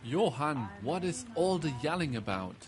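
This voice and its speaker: A proud, indignant innkeeper from a video game. He declaims in a fairly high, lively voice, as if easily scandalised. Music plays clearly underneath. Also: normal speed. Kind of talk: video game character dialogue.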